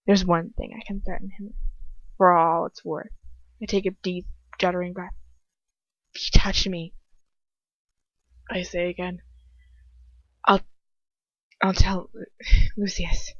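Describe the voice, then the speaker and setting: A woman's voice: lowish voice, little pitch movement, a little slow, long pauses, a bit bright, no echo, highs cut off. A woman narrating a first-person story aloud in an informal way, stumbling and slipping into asides. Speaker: amateur fan fiction reader.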